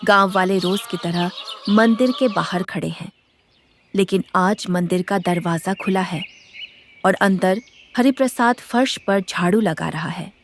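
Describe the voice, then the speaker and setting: A woman's voice: mid-range voice, a little slow, slight background noise. A woman narrator reading a scripted, dramatic story. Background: loud background music.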